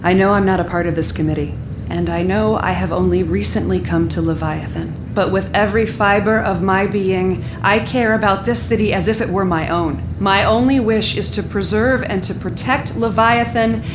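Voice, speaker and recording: A woman's voice: medium pitch, moderate pace, noisy background, close to an everyday voice. An actress playing a bold, sly woman who claims leadership, speaking emphatically in an audio drama. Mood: resolute. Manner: emphatic, oratorical. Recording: roomy echo, music underneath.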